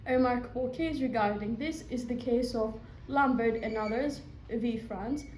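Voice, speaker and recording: A woman's voice: on the high side, moderate pace, darkish tone. A young woman from Greece, a law student, reading a prepared presentation aloud with small slips. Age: young adult. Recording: echoey room with faint noise.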